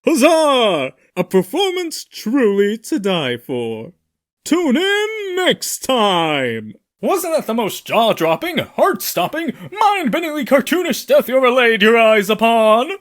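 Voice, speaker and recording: A hammy animatronic showman for a video game. The delivery is grand and vain, swooping wildly in pitch and savoring each phrase slowly, with bloodthirsty glee. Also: huge pitch swings, fairly bright tone, full character voice. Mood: gleeful, grandiose. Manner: grandiose announcer flourish. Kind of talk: video game character voice lines.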